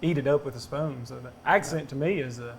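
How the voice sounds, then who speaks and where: A man's voice: medium pitch, brisk, a little hiss or hum. A man with a Southern accent on a panel, talking in an amused, easygoing way. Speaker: brand representative on a panel. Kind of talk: casual panel talk.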